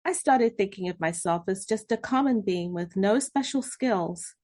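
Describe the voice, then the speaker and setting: A woman narrating a children's storybook, reading expressively with drawn-out emphasis and dramatic pauses. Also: medium pitch, lively intonation, a little mellow. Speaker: read-aloud host narrating children's books. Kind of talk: scripted children's storybook narration in first person.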